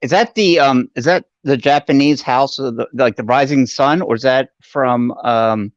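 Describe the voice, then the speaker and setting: A man's voice: medium pitch, moderate pace, no room sound. Dull-sounding, dry recording of a joking man. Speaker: co-host. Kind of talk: casual banter. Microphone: video call.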